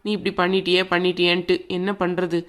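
A woman playing a gentle grandmother in a family drama, speaking in a soothing, colloquial, conversational way. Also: fairly low pitch, monotone, quick pace, lightly acted. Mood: confiding, placating. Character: gentle, peacemaking grandmother, mother of a grown daughter.

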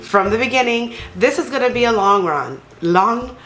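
A woman in her thirties chatting casually about nail polish, gushing and excited, with many fillers. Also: fairly high pitch, moderate pace. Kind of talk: casual vlog chat. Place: bedroom at home. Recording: echoey room with faint music.

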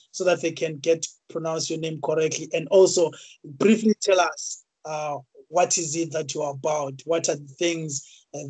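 Halting male video-call host. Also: a little high, a little mellow, no room sound, low-quality recording. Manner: halting, many fillers and repeated words. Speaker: interview host. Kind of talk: casual video-call interview. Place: small room indoors. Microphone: video call webcam.

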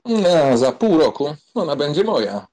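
A man as a husky, cocksure aristocratic womanizer. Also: fairly high pitch, sing-song, unhurried, warm tone. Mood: cocky. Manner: careless, teasing, self-assured. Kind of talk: dramatized audiobook acting.

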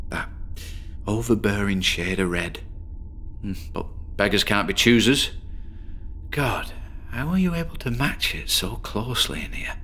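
A glum, rambling loner. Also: male voice, low voice, gravelly, fairly bright tone, full character voice. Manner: rambling, digressive and glumly self-deprecating. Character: lonely, downtrodden man in a grubby bedsit. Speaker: patient in a horror audio drama. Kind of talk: first-person radio drama monologue.

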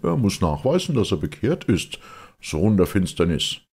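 A man's voice: sits low, sing-song, moderate pace, darkish tone, slightly rough, pitched above the performer's own voice, exaggerated voice. A man voicing a stern, pious old sea captain with a hollow voice and a solemn, questioning manner in an audiobook. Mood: suspicious, scolding. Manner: solemn, deadly earnest, interrogating.

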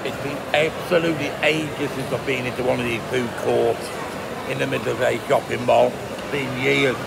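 Middle-aged guy with a gravelly voice reviewing food court grub on his phone, loud mall noise all around. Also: medium pitch, normal speed. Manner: chatty, murmuring "mm" while tasting, relaxed and plain-spoken. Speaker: food vlogger. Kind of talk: casual food review vlog. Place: indoor shopping mall food court under a glass roof. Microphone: phone held at arm's length, facing up. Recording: loud mall ambience behind the voice.

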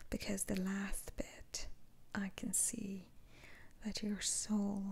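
A woman playing a stern, principled witch doctor in an ASMR roleplay, speaking in a breathy, halting whisper. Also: medium pitch, dark tone, full character voice. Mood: calm, soothing. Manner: slow, halting, deliberate.

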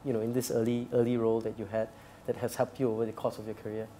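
Fast-talking male interview host. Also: mid-range voice, little pitch movement, mellow, a little hiss or hum. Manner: quick, prompting questions with fillers. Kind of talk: conversational interview. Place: studio set with brick wall, indoors.

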